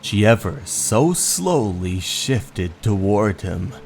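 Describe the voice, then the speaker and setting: A man narrating a scripted horror story in a theatrical, measured way. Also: on the low side, big pitch swings, creaky, a little mellow, a little hiss or hum. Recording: voice over background music.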